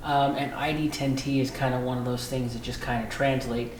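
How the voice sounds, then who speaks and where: American male interviewee, mid-pitched, explanatory, halting conversational delivery; roomy sound. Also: level tone. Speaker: police dispatcher being interviewed. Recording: echoey room with faint background noise.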